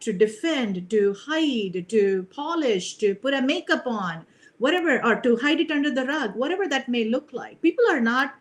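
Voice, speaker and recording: A middle-aged woman from India, a leadership coach speaking earnestly on a video call, often adding "you know" and "right?". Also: a little high. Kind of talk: reflective interview talk.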